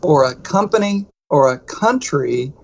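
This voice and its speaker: A man's voice: on the high side, animated, a little slow, vocal fry, highs cut off. A middle-aged man with a Texan accent, co-hosting a podcast in a casual, rambling way that jokes at his own expense. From United States. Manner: rambling, self-deprecating, restarts often. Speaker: podcast co-host.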